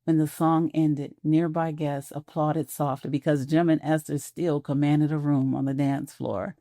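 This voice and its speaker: A woman narrating an audio drama in a soft, measured storytelling voice, recorded on a close studio mic. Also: sits low, level tone, no echo.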